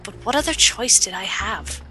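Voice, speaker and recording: A woman acting an earnest alchemist, bright-edged voice. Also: mid-range voice, animated. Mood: reflective. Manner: measured, serious. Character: earnest, determined female alchemist adventurer. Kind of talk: audio drama narration and dialogue. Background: music.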